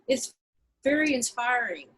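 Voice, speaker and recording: A woman's voice: a little high, lively intonation, measured pace, a bit bright, slightly rough. A woman interviewing someone in a casual, informal and encouraging way over a video call. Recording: noisy video call with background ambience.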